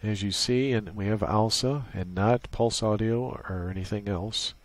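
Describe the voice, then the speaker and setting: A man's voice: lowish voice, a little slow. A man presenting an unscripted tutorial, explaining a setup casually, step by step. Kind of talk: casual unscripted software walkthrough.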